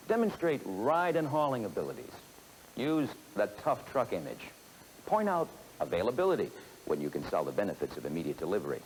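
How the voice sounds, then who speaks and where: A man giving scripted narration for a sales training film, in a measured, instructive manner, on a 1970s film soundtrack. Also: lowish voice, darkish tone, a little hiss or hum, poor audio.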